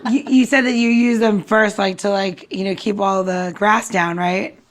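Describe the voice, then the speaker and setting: Woman, medium pitch, chatty, emphatic, very informal podcast co-host. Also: steady tone, normal speed. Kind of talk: casual chat.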